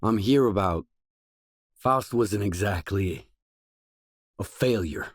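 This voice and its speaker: A man's voice: on the low side, takes it slowly, husky, no echo, clean studio sound. An actor playing a guarded, blunt male stalker, the protagonist of a post-apocalyptic video game, speaking in terse scripted lines. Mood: matter-of-fact, probing. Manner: terse, blunt, probing questions.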